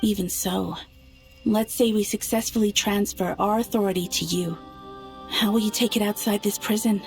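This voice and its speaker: A woman's voice: medium pitch, fairly even intonation, normal speed, soft background music, close to an everyday voice. A woman voicing a pragmatic, authoritative moon goddess in an anime game, formal and matter-of-fact. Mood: cool, skeptical. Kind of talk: anime game cutscene acting.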